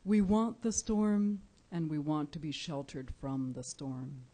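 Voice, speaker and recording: A woman from Cuba, a Spanish speaker, giving a live poetry reading, measured and deliberate with soft pauses. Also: low voice, steady tone. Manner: measured, deliberate, pausing often with soft fillers. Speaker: featured poet. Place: indoor live reading event.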